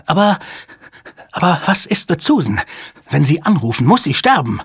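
A man voicing a desperate, panicking younger male hostage-taker in an audiobook, shrill and frantic as he threatens and pleads. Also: slow pace, fairly bright tone, slightly rough, full character voice. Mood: frantic, pleading. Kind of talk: dramatic audiobook character voice.

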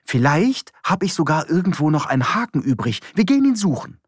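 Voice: mid-range voice, big pitch swings, brisk, husky, no room sound, studio quality, voice pushed up. A narrator voicing a nervous, chatty middle-aged man, a former postman who rambles eagerly. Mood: pleading. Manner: chatty, fussy, eager to please. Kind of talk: audiobook character voice.

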